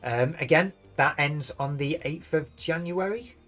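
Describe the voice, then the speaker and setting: A British man reading game patch notes aloud and rambling on them, tired, his voice going hoarse. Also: on the high side, a little slow, fairly bright tone, faint music behind. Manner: rambling, thinks aloud, loses his words. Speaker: gaming YouTuber.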